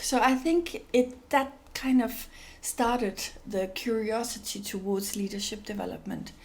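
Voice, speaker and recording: A woman's voice: on the high side, darkish tone. A woman from Denmark, an NGO executive director, answering interview questions thoughtfully with many fillers and restarts.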